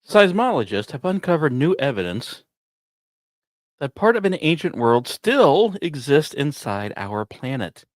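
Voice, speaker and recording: A man hosting a podcast, reading scripted science narration in a measured, explanatory way, recorded close. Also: a little high, very animated, dry recording. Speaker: podcast host.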